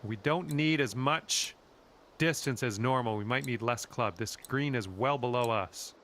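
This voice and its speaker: A man's voice: medium pitch, unhurried, a bit bright. A man giving informal, colloquial golf commentary in the style of a sports video game. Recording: clear background noise.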